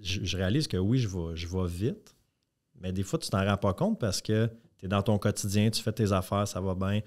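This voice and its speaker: A man with a Québécois French accent hosting a podcast, relaxed and encouraging, chiming in with quick agreements. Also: sits low. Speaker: podcast host, real estate broker. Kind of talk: casual interview chat.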